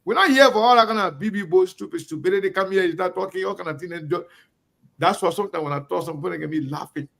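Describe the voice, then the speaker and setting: A man's voice: high-pitched, quick pace, gravelly, fairly bright tone. A middle-aged Liberian man with a Liberian English accent, delivering an emphatic, repetitive talk-show monologue with a preacher-like cadence. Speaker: online talk show host. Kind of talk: impassioned monologue.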